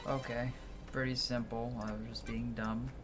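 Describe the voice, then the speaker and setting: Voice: medium pitch, little pitch movement, moderate pace. A game streamer of unclear sex talking casually and informally to themself while playing on a livestream. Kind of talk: casual game livestream commentary, voicing dialogue aloud. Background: game music.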